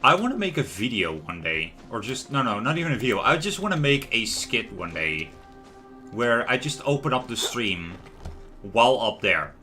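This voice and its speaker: A man's voice: medium pitch, very animated, moderate pace, sharp tone. A man giving casual, rambling gameplay commentary as a livestream host, chatting to viewers as he goes. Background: lo-fi music and game sound.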